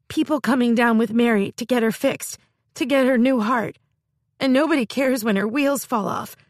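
Woman voicing a shrill, sobbing, furious young woman. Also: no echo, studio quality. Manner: shrill, wailing, tearful. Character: fierce, proud young woman sobbing in a rage. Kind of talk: audiobook character voice.

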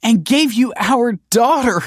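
A man's voice: mid-range voice, brisk, no echo, clean studio sound, pitched above the performer's own voice, natural voice. A man voicing a distraught, defensive wife who pleads tearfully and justifies herself in a dramatic story reading. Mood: desperate. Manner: pleading, self-justifying, emotional. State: tearful, sniffing.

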